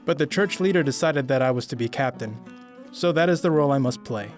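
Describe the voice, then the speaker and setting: A young man reading a first-person audiobook story in a slow, measured, brooding way. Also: medium pitch, soft background music. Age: young adult. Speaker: narrator.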